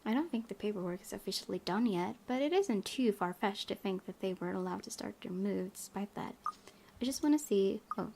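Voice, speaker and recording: A woman hosting a gaming video, reading story text aloud and chatting informally about it with expressive reactions. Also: medium pitch, a little fast, gravelly, a little hiss or hum. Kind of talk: reading a game's narration aloud with casual commentary.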